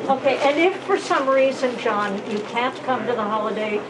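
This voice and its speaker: Higher-voiced woman speaking in a noisy room. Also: lively intonation, moderate pace. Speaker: club member presenting an award. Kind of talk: informal congratulatory remarks at a gathering. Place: restaurant luncheon room.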